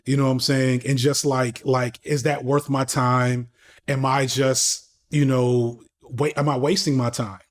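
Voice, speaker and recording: A man's voice: medium pitch, flat delivery, measured pace. A man in his thirties, a podcast guest talking casually and candidly, with slang, swearing and frequent restarts. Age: 30s. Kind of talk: casual conversational interview.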